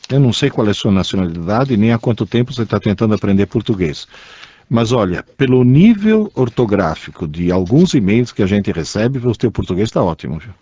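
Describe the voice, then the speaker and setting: A man's voice: on the low side, very animated, a little fast, dark tone, breathy edge, no room sound. A man with a Brazilian accent co-hosting a radio show, explaining things conversationally in a teacherly way, repeating points for emphasis. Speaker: radio co-host. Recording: dull lo-fi radio recording.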